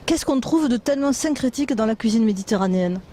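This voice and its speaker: An interviewer asking questions and adding comments in a lively, leading way. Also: medium pitch, fast, slight background noise.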